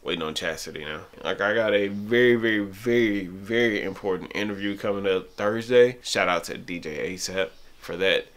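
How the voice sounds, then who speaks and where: A man's voice: fairly low pitch, normal speed, hardly any pauses, fairly bright tone. A man in his thirties giving casual, emphatic advice to the camera in a vlog, repeating words for stress. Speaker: vlogger. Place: home office with bookshelves and green light. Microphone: camera mic at arm's length.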